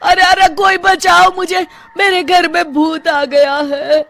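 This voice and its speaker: An actor playing a frightened village headman who begs in panic in an animated Hindi story. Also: very high-pitched, takes it slowly, gravelly, exaggerated voice. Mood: panicked. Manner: panicky, begging. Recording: faint background noise.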